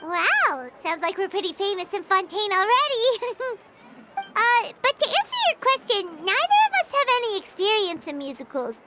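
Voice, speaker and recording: A woman voicing a small, perky, childlike fairy companion in a video game, squeaky, bubbly and cheeky. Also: sing-song, moderate pace, loud music behind the voice. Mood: curious, helpful. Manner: bubbly, refers to herself by name.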